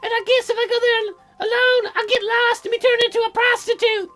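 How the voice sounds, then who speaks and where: Naive teenage girl for a dating sim: extremely high, piercingly bright, gushing. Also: level tone, brisk, faint music behind. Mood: excited. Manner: exaggerated, gushing, childlike wonder.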